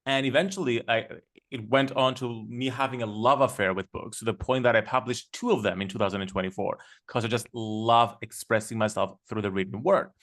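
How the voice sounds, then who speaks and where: A man's voice: medium pitch, steady tone, fairly bright tone. Enthusiastic man. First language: Greek. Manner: enthusiastic, storytelling, quick with fillers. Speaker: guest. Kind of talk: casual podcast conversation.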